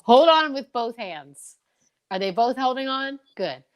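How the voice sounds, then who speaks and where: A woman's voice: fairly high pitch, lively intonation, a little slow, lo-fi sound. A woman hosting a casual chat show, talking in an effusive, encouraging manner. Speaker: show host.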